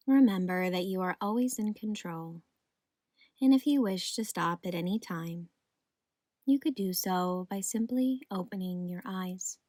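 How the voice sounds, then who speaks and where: A woman guiding a meditation in a soothing, gentle, unhurried manner. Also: lowish voice, no echo. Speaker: meditation guide. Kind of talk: guided meditation narration.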